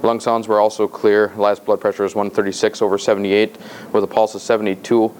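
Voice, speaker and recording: A man's voice: fairly low pitch, level tone, brisk, a little mellow, a little hiss or hum. A man speaking as a paramedic, giving a matter-of-fact, methodical clinical patient report.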